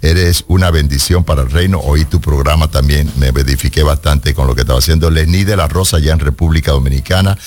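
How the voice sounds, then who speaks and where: A man hosting a radio call-in show as a pastor, teaching on the Bible and stressing points slowly. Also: a bass voice, fairly even intonation, a little mellow, slight background noise. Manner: emphatic, slows down to stress points.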